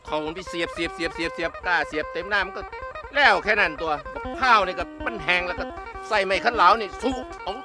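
An actor playing a matter-of-fact older village farmer with a northeastern Thai Isan accent, giving advice in a comedy drama. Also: male voice, high-pitched, fast, music in the background, lightly acted.

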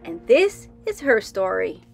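A woman's voice: medium pitch, very animated, unhurried, vocal fry, slightly rough, faint music behind. Chatty young woman narrating a vlog. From Netherlands. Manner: chatty, with many ums and restarts. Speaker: sailing vlogger. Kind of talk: casual voice-over narration.

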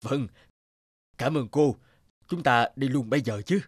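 A big, stern prison lieutenant in a dubbed cartoon, his husky voice measured and official. He stays polite while sounding weary of a stubborn prisoner. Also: mid-range voice, dry recording, studio quality. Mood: formal. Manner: formal, official.

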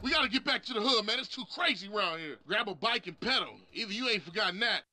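Bossy gangster brother, sharp and high-pitched. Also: male voice, expressive, fast, a little hiss or hum, exaggerated voice. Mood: urgent. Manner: scolding, profane. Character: resentful, bossy older brother in a street gang. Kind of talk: video game cutscene acting.

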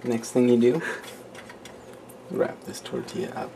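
A man's voice: medium pitch, huge pitch swings, unhurried, creaky. A young man hosting a homemade cooking show in a small kitchen at night, rambling offhand with many fillers. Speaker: home cooking show host. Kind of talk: casual home cooking demo. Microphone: handheld camera mic, close. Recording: noisy home camera audio.

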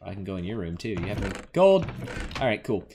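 A man's voice: lowish voice, big pitch swings, fast, noisy background. A young man giving casual, playful gaming commentary, rambling to himself and to the game's characters. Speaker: gaming video host. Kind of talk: casual Let's Play commentary on an adventure game.

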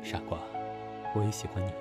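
A man's voice: a little fast, loud music behind the voice, natural voice. An actor playing a cool but devoted young man, earnest and emotional, in an audio drama style. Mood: soft. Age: young adult. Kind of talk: Chinese audio drama acting.

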